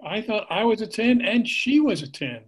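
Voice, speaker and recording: A man's voice: high-pitched, very animated, moderate pace, husky, heavily acted. A middle-aged actor playing a dad who gets defensive and indignant about his past, on a video call.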